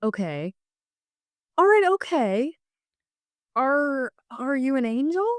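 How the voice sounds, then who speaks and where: A man voicing an anxious, bewildered, kindly lady in an audio story, halting and repeating words. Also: very high voice, big pitch swings, a bit bright, dry recording, studio quality, pitched above the performer's own voice, full character voice.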